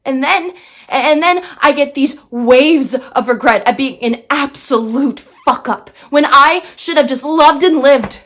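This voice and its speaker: A woman's voice: sits high, unhurried, a bit bright. A young woman performing a bitter, brash dead girl in a theatrical monologue, sardonic and emphatic. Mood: regretful, anguished. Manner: sardonic, emphatic, swinging into outbursts.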